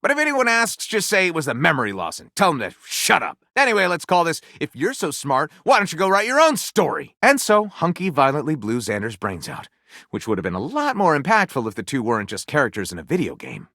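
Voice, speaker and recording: A man's voice: a little high, wildly swooping pitch, fairly quick, bright, forceful tone, dry recording, studio quality, full character voice. An actor playing a flippant, self-satisfied male writer in a video game, telling tales with wry, grandiose, theatrical delivery. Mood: enthusiastic, snarky. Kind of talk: dramatic, comedic storytelling voice acting.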